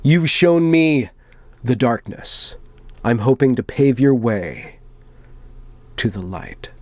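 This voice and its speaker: A man's voice: medium pitch, lively intonation, slow, darkish tone, no room sound. A man voicing an aggrieved, self-important forum poster, reading his manifesto in a declamatory, theatrical way for an online video. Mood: solemn, ominous.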